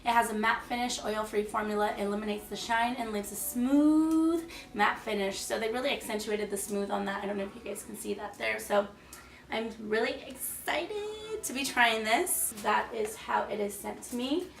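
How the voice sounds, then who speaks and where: A young woman in her twenties with a hoarse, froggy voice, chatting enthusiastically through a makeup product review. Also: a little high, moderate pace, distant mic. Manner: chatty and enthusiastic, many fillers. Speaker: beauty vlogger. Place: small room, plain wall. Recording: echoey room, slightly off-mic.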